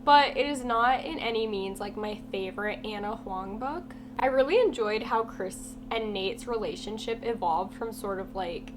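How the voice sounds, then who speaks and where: A woman's voice: a little high, moderate pace, hardly any pauses. A woman with a congested, half-lost voice from a cold, giving a chatty, blunt book review vlog with lots of "like" fillers. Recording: steady humming background noise.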